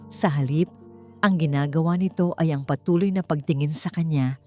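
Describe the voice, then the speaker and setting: A woman's voice: low-pitched, darkish tone. A woman narrator reading a scripted romance story in a dramatic storytelling style.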